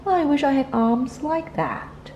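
A woman's voice: sits high, big pitch swings, slow, darkish tone, highs cut off, slight background noise, voice pushed up, heavily acted. A woman voicing an affectionate, eager little young male hare in a children's storybook read aloud. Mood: impressed, wistful.

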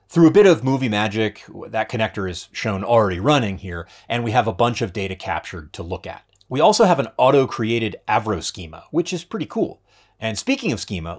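A man in his forties gives a scripted tech demo with wry, playful asides, speaking to camera from a home study. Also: mid-range voice. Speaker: developer advocate presenting a product demo. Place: home study with bookshelves, indoors.

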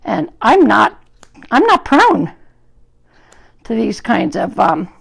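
A hoarse woman groomer with a gravelly voice, chatting to live-stream viewers while trimming a dog in a grooming salon, recorded on a phone. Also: fairly high pitch, sing-song, takes it slowly. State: hoarse from an illness. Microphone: phone camera propped at the grooming table.

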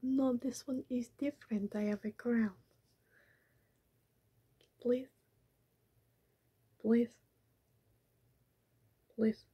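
Breathy high whisper, slow, pleading vampire lady played by a young woman, broken formal English, slightly muffled close mic. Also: fairly even intonation, exaggerated voice. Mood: wheedling. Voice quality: soft whisper. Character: scheming female vampire in silly disguises. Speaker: ASMR creator. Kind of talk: ASMR roleplay. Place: small bedroom with pink backdrop. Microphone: close webcam framing.

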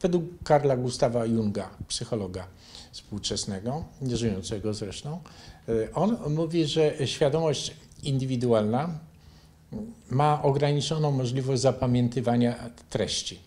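An older man from Poland answering interview questions in a measured, didactic, explanatory way. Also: mid-range voice, mellow. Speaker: interview guest, bioenergy therapy teacher and author. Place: furnished living room, indoors.

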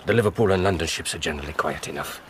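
Weary docks copper in a radio play, deep voice, matter of fact, faint background noise. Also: male voice, racing, a bit bright. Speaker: actor. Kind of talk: British radio drama acting.

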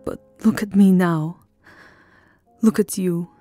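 A woman playing a tender, lovesick vampire countess in an intimate ASMR roleplay, speaking softly and often dropping into a whisper, with formal, wistful endearments. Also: fairly low pitch, takes it slowly. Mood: wistful, regretful. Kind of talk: ASMR roleplay, soft intimate romantic acting. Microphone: close microphone, dry. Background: music.

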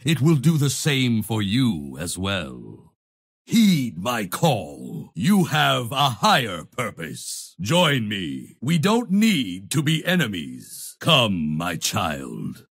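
A male actor playing a proud, zealous holy knight leader in a fantasy video game, with a gravelly, husky voice and grandiose, solemn delivery. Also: lowish voice, wildly swooping pitch, fairly bright tone, heavily acted. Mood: beckoning. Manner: grandiose, solemn, deliberate declamation. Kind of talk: theatrical fantasy game voice lines.

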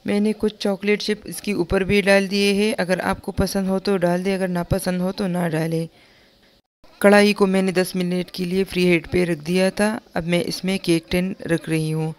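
Calm woman narrating a home recipe. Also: on the low side, moderate pace. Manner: calm, instructive, repeats steps plainly. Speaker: cooking channel host. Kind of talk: step-by-step recipe voice-over.

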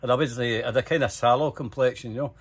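An older Scottish man with a husky, unpolished voice tells a rambling personal anecdote. He talks casually and swears freely. He plays out each side of the conversations he recalls in an animated manner. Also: mid-range voice, fast. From Scotland. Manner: animated, sweary, acts out dialogue. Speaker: storyteller.

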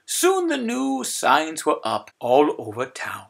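Slow, very animated man reading a storybook. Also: on the high side, creaky. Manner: expressive, emphatic, repeating phrases for effect. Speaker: storybook reader. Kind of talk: animated children's read-aloud narration.

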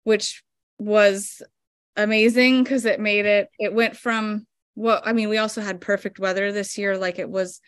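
A woman's voice: medium pitch, level tone, measured pace, clear, resonant tone, dry recording, clean studio sound. A woman in her thirties, a flower farmer guest explaining her work step by step with lots of fillers, over a video call from home. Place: home room indoors. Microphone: video call webcam.

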